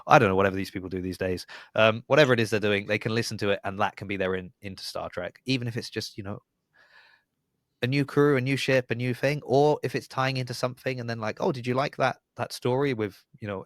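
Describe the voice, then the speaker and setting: A man with a fairly low voice, recorded close and dry. He hosts a casual podcast, rambling along chattily with a wry, self-aware manner. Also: no echo. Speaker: podcast host.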